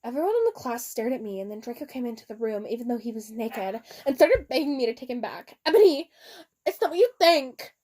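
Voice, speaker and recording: A woman's voice: a little high, animated, quick pace, few pauses, warm tone. A woman giving a theatrical, giggly, mock-dramatic reading of fan fiction with amused asides. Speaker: reader.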